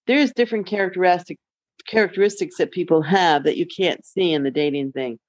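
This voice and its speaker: A woman's voice: on the low side, brisk, dry recording. A middle-aged woman podcast co-host chatting casually in a candid, rambling way. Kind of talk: casual chat.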